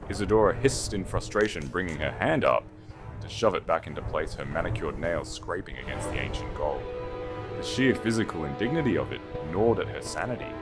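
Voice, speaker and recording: Deep-voiced man narrating dramatic fiction. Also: expressive, fairly quick, over music. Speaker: audiobook narrator. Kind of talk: dramatic scripted fantasy narration.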